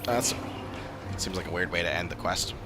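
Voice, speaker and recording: A man's voice: low-pitched, normal speed, loud music behind the voice. A man livestreaming a game playthrough, making casual asides. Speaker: game streamer.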